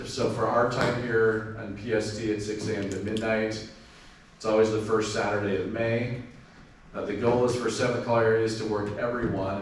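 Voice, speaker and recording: A man giving an informal amateur radio club presentation, chatty, with lots of fillers and restarts. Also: lowish voice. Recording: far-off mic in a very echoey room with faint noise.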